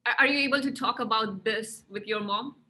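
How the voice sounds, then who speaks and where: Bright-toned woman asking interview questions. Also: a little high, brisk.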